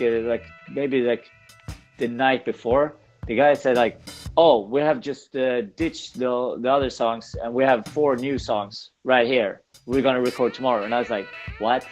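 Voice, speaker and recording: A man from Sweden with a Swedish accent, a native Swedish speaker, talking casually in an interview and often repeating himself as he searches for words. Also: mid-range voice. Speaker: podcast guest, punk band singer.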